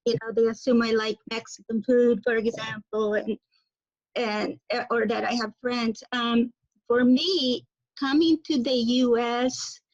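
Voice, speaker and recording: A woman from Bolivia speaking slowly and thoughtfully as a panel guest on a video call, with many pauses and fillers. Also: on the high side, no echo. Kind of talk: casual video-call discussion. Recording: dull, lo-fi call audio.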